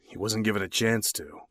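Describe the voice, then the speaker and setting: An actor playing a shady, conspiratorial accomplice to murder in a horror audio drama. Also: male voice, fairly low pitch, fairly even intonation, moderate pace, fairly bright tone, no room sound, clean studio sound. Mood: grim, confiding.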